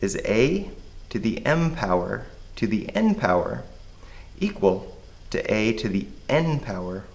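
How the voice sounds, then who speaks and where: Male math teacher, hoarse, lowish voice, patient step-by-step explainer. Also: sing-song, unhurried, darkish tone. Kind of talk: recorded math lesson.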